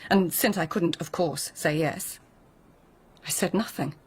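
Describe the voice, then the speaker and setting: An actress playing a poised, guarded court lady of old Japan in a radio drama, breathy and husky, with measured, understated delivery. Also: fairly low pitch. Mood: cool, guarded. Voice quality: breathy, husky, near whisper. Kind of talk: radio drama acting, intimate narration and dialogue.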